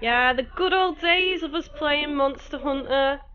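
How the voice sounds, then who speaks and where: A woman's voice: sits high, a little slow, lo-fi sound, slight background noise. A young woman from near Chester in England, chatting casually with viewers while streaming a game. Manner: chatty, laughs easily, answers chat. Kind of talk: casual chat with live chat viewers.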